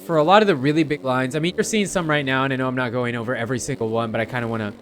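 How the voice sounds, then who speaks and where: A man's voice: medium pitch, rapid-fire, a little hiss or hum. A man giving casual, rambling gameplay commentary, chatting informally and speaking directly to his viewers. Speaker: gamer narrating gameplay.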